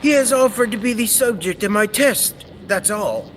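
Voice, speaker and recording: Guy doing a eccentric radio scientist, high voice, very animated, deliberate, big echo and some noise. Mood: reassuring, proud. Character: kindly eccentric male scientist of the future, absorbed and reassuring. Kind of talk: old-time radio serial acting. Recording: reverberant, noisy stage-like recording.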